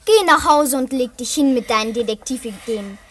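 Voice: medium pitch, normal speed, fairly bright tone, close to an everyday voice. An actor playing a spirited, blunt young girl in a German children's radio play, with an outspoken, sceptical way of talking. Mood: scolding.

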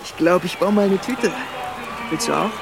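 An actor playing a laid-back young festival-goer in a radio play. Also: male voice, sits high, moderate pace, gravelly. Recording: noisy outdoor crowd ambience.

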